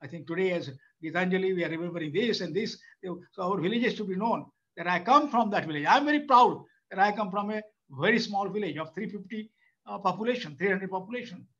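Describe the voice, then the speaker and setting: An older Indian man with a fairly high voice speaks off the cuff at a webinar, stopping and restarting his sentences often. The sound is dull and lo-fi, as from a video call. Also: a bit bright. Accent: Indian English. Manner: halting, many restarts and fillers. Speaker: webinar speaker, former institute chairman. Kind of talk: unscripted speech.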